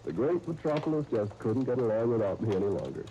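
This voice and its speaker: A man's voice: mid-range voice, animated, fast, mellow, lightly acted. An actor playing a genial, self-mocking young businessman with playful, mock-grand phrasing in a 1930s radio drama. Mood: jovial. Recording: dull, noisy 1939 radio broadcast.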